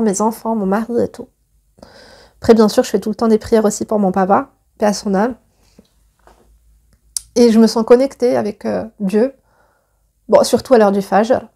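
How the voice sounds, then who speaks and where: A woman's voice: a little high, warm tone. A woman in her thirties chatting to her viewers and reading a letter aloud, gushing and full of fillers and restarts.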